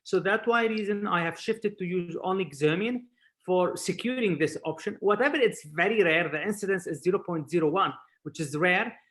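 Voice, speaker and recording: English-speaking man from Spain, explaining haltingly. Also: high-pitched, a bit bright. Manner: halting non-native English, repeating words. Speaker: surgeon lecturer, webinar speaker. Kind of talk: informal expert talk on a video webinar. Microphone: video call.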